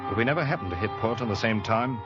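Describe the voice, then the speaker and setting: A man's voice: fairly low pitch, animated, racing, lightly acted. An actor playing a young ship's apprentice who narrates his story earnestly in a vintage radio drama. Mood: reflective. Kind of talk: 1940s radio acting. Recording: dull old radio broadcast with music.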